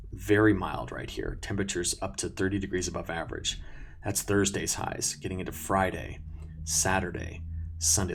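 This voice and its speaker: A man's voice: sits low, creaky, a little hiss or hum. A man presenting an unscripted weather briefing in a conversational, self-correcting way, talking viewers through maps as a forecaster. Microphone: new sensitive close mic at a desk.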